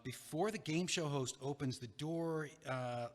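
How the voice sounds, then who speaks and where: A man's voice: a little high, unhurried, lightly acted. A man playing a heartbroken, stubborn young guy in an audio play, earnest and exasperated, with a hesitant way of talking. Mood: explaining, hesitant. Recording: long echoing hallway reverb.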